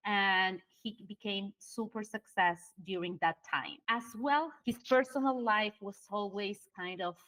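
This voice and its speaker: A woman's voice: medium pitch, a bit bright, rough recording. A woman from Argentina with an Argentine Spanish accent, a Spanish speaker explaining a story in English as a podcast guest, with many fillers and non-native grammar. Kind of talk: conversational explaining. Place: at home in Argentina.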